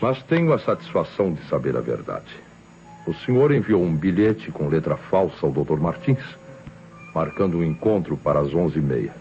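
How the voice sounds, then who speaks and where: An actor playing a relentless police inspector in an old Brazilian radio drama, speaking in a theatrical, deliberate, accusing way. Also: male voice, a bass voice, big pitch swings, a little mellow, full character voice. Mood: confident, explanatory. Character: shrewd, relentless male police inspector exposing a killer. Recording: dull vintage radio recording with music.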